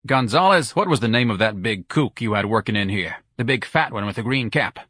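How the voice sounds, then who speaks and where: A man voicing an impatient, gruff businessman husband in an audiobook. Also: on the low side, animated, brisk, sharp tone, no room sound, clean studio sound, exaggerated voice. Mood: demanding. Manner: impatient, blunt. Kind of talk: audiobook character voice.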